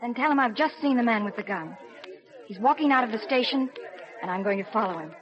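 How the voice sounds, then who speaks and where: A woman's voice: fairly high pitch, expressive, fairly quick, a loud crowd, close to an everyday voice. An actress playing an earnest, stubborn young woman caught up in a crime case, in 1950s radio drama style. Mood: urgent. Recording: muffled vintage radio recording.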